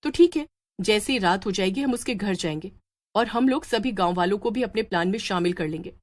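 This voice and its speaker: A woman's voice: mid-range voice, lively intonation, a little fast, lightly acted. A woman voicing a bold, protective village mother in a story, speaking earnestly and insistently. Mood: urgent persuading.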